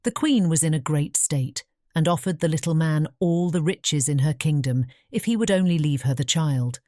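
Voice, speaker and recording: Low, mellow British woman narrating. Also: normal speed, dry recording, studio quality. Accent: British English. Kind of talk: scripted fairy tale narration.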